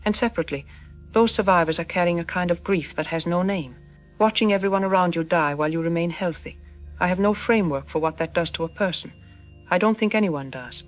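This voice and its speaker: A woman playing a solemn, earnest doctor giving deliberate expert warnings on a news broadcast in an audio drama. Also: fairly low pitch, lo-fi sound, soft background music, close to an everyday voice. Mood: solemn, urgent. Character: solemn female university doctor warning of pandemic. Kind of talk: scripted interview in an audio drama.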